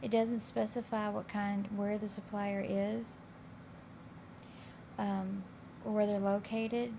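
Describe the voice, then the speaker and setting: Raspy, flat-toned woman instructor. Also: medium pitch, slow. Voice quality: slightly raspy. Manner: casual, loosely phrased, conversational. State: slightly hoarse voice. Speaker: college instructor. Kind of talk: informal explanation of course assignments. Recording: clear background noise.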